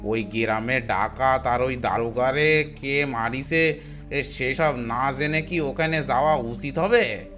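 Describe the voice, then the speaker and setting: A country bandit sidekick, played by a man with a medium-pitched voice. He speaks in a homely, hesitant way for a cartoon horror tale, with music underneath. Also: takes it slowly, fairly bright tone. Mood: hesitant, submissive. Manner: hesitant, rustic colloquial speech. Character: anxious, deferential rustic bandit henchman, loyal to boss. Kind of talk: animated horror story dialogue. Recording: music bed behind voice.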